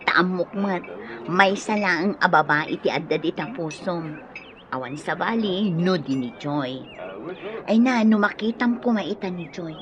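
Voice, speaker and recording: A woman's voice: fairly low pitch, measured pace, natural voice. A woman playing a teasing, coaxing female friend who plays matchmaker in a radio drama, with a Filipino accent. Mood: teasing, amused. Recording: muffled, noisy radio drama recording.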